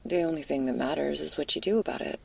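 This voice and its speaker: A woman playing a laid-back, caring but anxious stoner werewolf in an intimate ASMR roleplay, speaking in casual slang with a hesitant, husky, breathy murmur that drifts into whispers. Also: low voice, quick pace, a little mellow, full character voice. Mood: gentle, reassuring.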